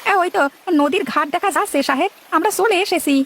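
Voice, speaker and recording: A humble boatman from a moral-story cartoon as a woman voices him, always deferential to his master. The voice is high and hurried, obliging in every phrase. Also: a bit bright, a little hiss or hum.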